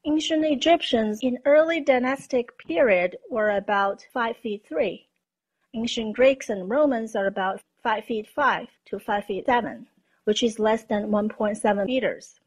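A woman narrates a scripted documentary with a fairly high voice. The tone is slightly husky. She explains in a measured way, as a presenter. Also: rough recording.

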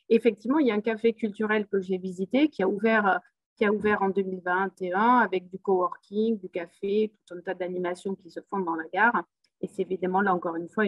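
A middle-aged woman with a southern French accent speaks over a video call, earnest and upbeat. Her talk is halting, filled with fillers and repeated words. The sound is dry and close, with a voice of middling pitch. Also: no room sound, highs cut off. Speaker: rail company executive speaking at a webinar. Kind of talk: spontaneous webinar speech.

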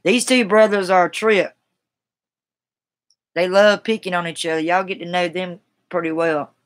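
A woman's voice: lowish voice, fairly even intonation, moderate pace. A middle-aged woman with a Carolina Southern accent, chatting casually with viewers in a rambling, folksy, colloquial way, recorded on a webcam in a room at home. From South Carolina, United States. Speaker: livestream host. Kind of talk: casual chat, responding to viewers. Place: small room at home, indoors.

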